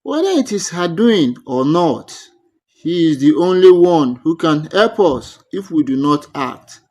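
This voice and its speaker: A man's voice: a little high, sing-song, unhurried, mellow. A man voicing a bold young village woman in a folktale, earnest and urgent.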